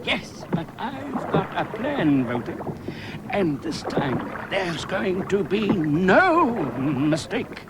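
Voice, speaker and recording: Self-important adventurer, high voice with big swoops, mock-dramatic radio narration over faint music. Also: male voice, sing-song, slow pace, noisy background, heavily acted. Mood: resolute, swelling. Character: earnest, self-important adventurer hero narrating his exploits.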